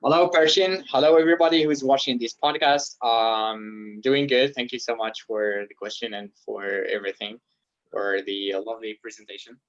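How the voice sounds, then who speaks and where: A man's voice: medium pitch, fairly even intonation, moderate pace. A man, answering interview questions in a casual, hesitant way with frequent fillers. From Algeria. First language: Arabic and French. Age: 20s. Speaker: guest. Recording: dull lo-fi audio.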